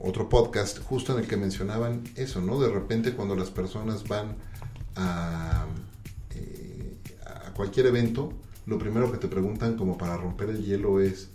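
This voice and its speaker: Male podcast host, deep warm voice, echoey room, conversational questions. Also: moderate pace, slight background noise. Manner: fluent, with filler "eh" and repeated words when starting. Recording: roomy echo.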